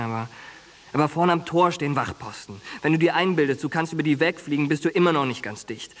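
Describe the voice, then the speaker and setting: Ein Schauspieler in einem Hörspiel spielt einen derben, eindringlichen jungen Soldaten und treuen Freund mit genervtem Temperament. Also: male voice, fairly high pitch, natural voice. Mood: urgent, exasperated. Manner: blunt, coarse, insistent. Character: streetwise, exasperated young soldier, loyal friend. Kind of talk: naturalistic German radio drama acting.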